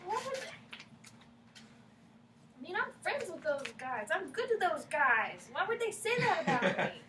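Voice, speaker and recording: A woman acting a guarded, flustered small-town tomboy in a staged play reading, blunt and excitable, repeating words in bursts. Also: extremely high pitch, moderate pace. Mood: hurt. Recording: roomy, distant microphone, faint noise.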